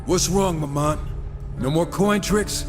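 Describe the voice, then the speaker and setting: A man's voice: fairly high pitch, unhurried, music in the background, lightly acted. A man playing a hot-headed, sarcastic warrior in a game cutscene, wry and swaggering. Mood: taunting. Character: cocky, sarcastic, hot-headed male horseman warrior gunslinger. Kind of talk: video game cutscene acting.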